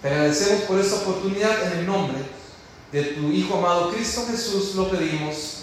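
A man's voice: high voice, a little fast. A man with a Costa Rican accent preaching and teaching in a church, praying and asking the congregation questions with emphasis. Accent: Costa Rican Spanish. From Costa Rica. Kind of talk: church teaching talk with prayer. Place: large echoey church hall. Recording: very echoey hall with faint noise.

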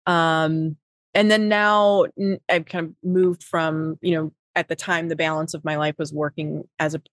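Brief: middle-aged woman, Wisconsin accent, low voice, dry close recording, meandering interview answers. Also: level tone, unhurried, dry recording. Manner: rambling, many fillers and restarts. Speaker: podcast guest, nonprofit executive. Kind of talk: casual interview talk.

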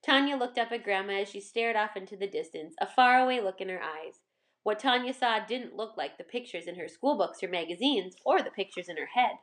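Female storyteller, medium-pitched, expressive narration for a children's picture book. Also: brisk.

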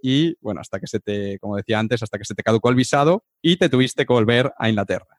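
A man's voice: medium pitch, quick pace, no room sound, studio quality. A man hosting a casual podcast interview in an informal, encouraging manner.

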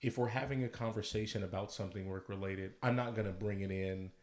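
A man co-hosting a podcast who speaks in a friendly, measured way and uses casual fillers. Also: on the low side, steady tone, darkish tone. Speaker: podcast co-host. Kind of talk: casual conversation about workplace groups.

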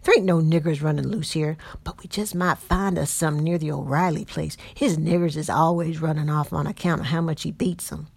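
A woman voicing a rough, drunken male slave patroller with a coarse drawl. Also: deep voice, steady tone, brisk, few pauses, darkish tone, dry recording, full character voice. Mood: scoffing.

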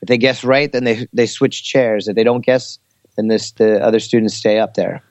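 A man chatting casually and giving teaching advice, explaining things in a hesitant, halting way. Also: lowish voice, fairly even intonation, a little mellow, dry recording. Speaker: English teacher in Korea, talk show speaker. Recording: slightly dull, lo-fi audio.

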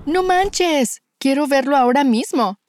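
A woman with a Mexican accent voicing an excitable young woman in a scripted learner dialogue. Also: high-pitched, a little slow, no echo. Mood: excited. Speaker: dialogue actor.

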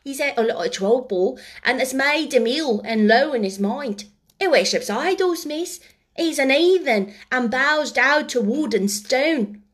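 An indignant young servant girl from a storybook, acted by a woman reader, full of gossip and opinion. She talks emphatically and ungrammatically, pitched up high. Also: expressive, unhurried, fairly bright tone, exaggerated voice. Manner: uneducated, ungrammatical speech, emphatic.

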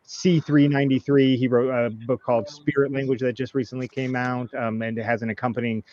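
A man's voice: medium pitch, level tone, brisk, a little mellow, slight background noise. Laid-back man in his thirties, lo-fi. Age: 30s. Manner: laid-back, rambling with many ums. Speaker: podcast host. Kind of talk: casual group chat.

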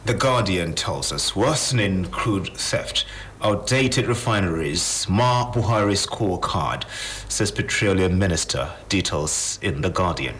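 A male Nigerian presenter reads out headlines with formal, deliberate announcing. His voice sits fairly low, over faint background noise. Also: lively intonation, a bit bright. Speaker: news presenter. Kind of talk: reading newspaper headlines.

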